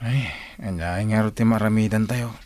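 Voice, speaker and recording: A woman's voice: very deep voice, normal speed, a little mellow, no echo. A woman acting a complaining young student in a radio drama, with an Ilocano accent. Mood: subdued.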